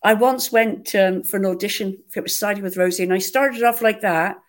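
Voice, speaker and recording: A woman, an actress chatting in an interview and telling lively, self-mocking stories. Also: medium pitch, fairly quick. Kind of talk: conversational interview.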